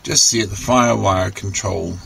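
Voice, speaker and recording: A man giving an informal hands-on technical walkthrough, unscripted and halting as he thinks aloud. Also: low-pitched, fairly bright tone. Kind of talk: informal hands-on show-and-tell narration. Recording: clearly noisy handheld amateur recording.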